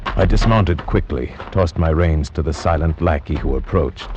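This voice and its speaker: An actor narrating as a grave gentleman recalling a dreadful visit, in 1940s radio drama style. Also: very deep voice, background noise. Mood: solemn. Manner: solemn, measured storytelling. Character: grave gentleman, friend of a doomed man. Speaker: narrator in character. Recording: muffled old radio with music underneath.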